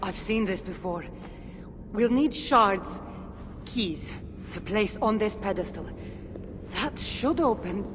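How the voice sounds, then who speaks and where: A woman's voice: mid-range voice, lively intonation, slow pace, long pauses, music in the background. An actress with a Greek accent playing a wry, teasing, seasoned warrior woman in a video game. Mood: insistent. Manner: teasing, dry, bantering. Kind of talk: cinematic video game acting.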